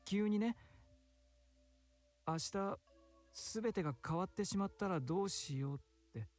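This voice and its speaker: A male actor playing a soft-spoken, effeminate, anxious young man in a Japanese visual novel, with feminine phrasing and a hushed, pensive manner. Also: high voice, lively intonation, a little fast. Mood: anxious, wistful. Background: game music.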